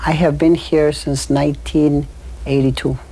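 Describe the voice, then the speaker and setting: A man with a Mexican Spanish accent talks in a gravelly, dark-toned voice. He keeps his answers plain and brief. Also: on the high side, level tone, measured pace, low-quality recording. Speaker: interviewee.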